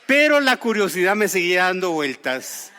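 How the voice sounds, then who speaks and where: A man's voice: high-pitched, very animated, moderate pace, bright, forceful tone, big-room sound. A man from Costa Rica preaching a sermon, explaining scripture with personal stories and light humor. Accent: Costa Rican Spanish. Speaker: pastor. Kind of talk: sermon with storytelling.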